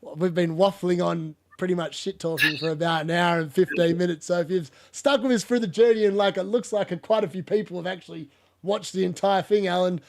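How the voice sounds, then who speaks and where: A man's voice: sits high, fairly even intonation, brisk, gravelly. Chatty man with a cough. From Australia. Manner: rambling, laughs often. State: lingering cough. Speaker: podcast host. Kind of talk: casual football chat.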